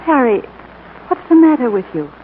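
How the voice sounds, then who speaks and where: Fussing housewife, highish, lively inflection, 1940s radio drama, tinny old recording. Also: female voice, normal speed, slight background noise. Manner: fussy, insistent, domestic. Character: nagging, practical wife.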